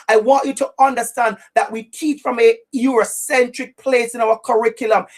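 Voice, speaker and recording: Jamaican woman, equity trainer, slightly high voice, emphatic and repetitive delivery. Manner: emphatic, preacherly, with deliberate repetition. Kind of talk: webinar presentation.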